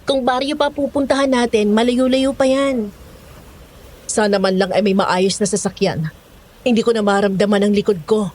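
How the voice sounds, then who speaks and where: A woman's voice: mid-range voice, steady tone, normal speed, darkish tone, natural voice. A woman playing a weary, outspoken cook of fifty in an audio drama, with a Filipino accent and a blunt, complaining manner. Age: 50s.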